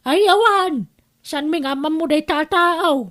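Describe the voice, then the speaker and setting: A high-pitched older village woman voiced by an actress in an Ilocano radio drama. She is a local elder with an Ilocano accent, answering a younger stranger. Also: slow pace, fairly bright tone. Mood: puzzled.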